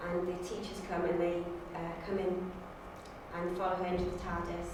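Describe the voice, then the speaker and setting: A woman's voice: fairly low pitch, completely flat delivery, normal speed. A woman talking casually and unscripted, in a hesitant, self-correcting way. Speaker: auditioning actor. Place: indoor university room. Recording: distant, very echoey room, faint noise.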